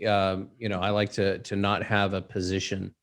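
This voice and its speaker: A man hosting a casual interview, speaking in a measured, reflective way and trailing off at the ends of phrases. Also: deep voice, completely flat delivery, a bit bright. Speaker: host.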